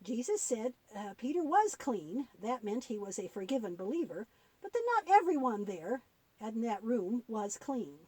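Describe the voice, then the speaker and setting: A woman voicing a kindly, patient old granny puppet who tells Bible stories to children in a halting, gently instructive way. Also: fairly high pitch, very animated, breathy edge, no room sound, voice pushed down. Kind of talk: puppet-show storytelling for children.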